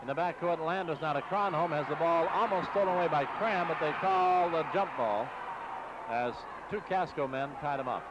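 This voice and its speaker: An American man doing live radio basketball play-by-play in clipped, rapid-fire short phrases, on an old AM broadcast. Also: on the high side. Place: basketball game in a gym. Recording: muffled noisy 1960s AM radio broadcast.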